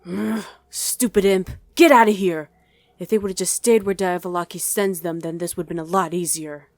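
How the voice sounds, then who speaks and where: A woman's voice: on the low side, steady tone, normal speed, a little mellow, no echo, natural voice. A woman playing a wry, easily irritated demon in a conversational ASMR roleplay, chatting and bickering with a partner. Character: female demon on mortal-hunting duty, wry, easily irritated. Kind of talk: ASMR roleplay acting to an unheard listener.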